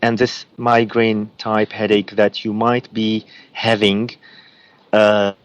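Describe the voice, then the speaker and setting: Man, lebanese accent, arabic native, halting speech full of fillers, dull muffled call audio. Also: on the low side, little pitch movement, clear, resonant tone. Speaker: psychic guest. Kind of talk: giving psychic readings on a call-in show.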